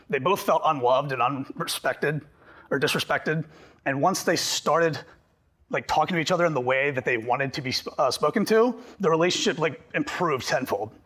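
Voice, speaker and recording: A man presenting a workshop to a group, talking fast and animated, slightly hoarse from losing his voice. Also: on the high side. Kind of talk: live workshop talk to a group.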